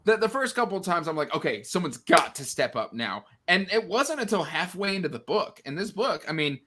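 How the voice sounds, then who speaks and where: Male panelist, higher-pitched and bright, emphatic and stammering, casual book chat over video call. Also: very animated, a little fast, a little hiss or hum. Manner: stammering restarts, exasperated emphasis, repeats words for effect. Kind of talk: casual book discussion on a live show.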